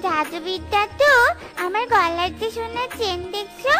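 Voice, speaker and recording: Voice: extremely high pitch, normal speed, bright, forceful tone, faint music behind. A performer voicing a kind, cheerful young girl animal in a children's cartoon, sweet and singsong. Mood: cheerful, helpful. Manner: sweet, singsong, eager to help.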